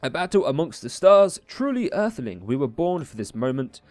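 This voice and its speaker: A man's voice: fairly high pitch, sing-song, moderate pace, a little mellow, no room sound. A man voicing a menacing alien warrior from a comic-book video game, theatrical and threatening. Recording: music behind the voice.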